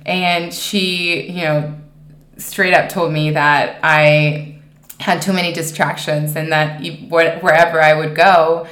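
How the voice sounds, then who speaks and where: A woman's voice: low voice, fairly bright tone. A woman in her twenties from Mexico, telling personal stories as an interview guest in an emphatic, conversational way full of "you know". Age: 20s. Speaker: podcast guest. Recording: roomy, echoing sound.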